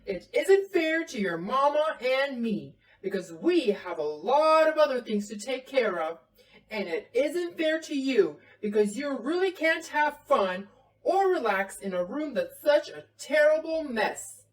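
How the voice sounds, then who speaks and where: Woman as firm papa bear, slow and animated. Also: sits high. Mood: firm, lecturing. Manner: emphatic, deliberate. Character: grown father bear, firm, reasonable head of family. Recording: roomy, distant from the mic.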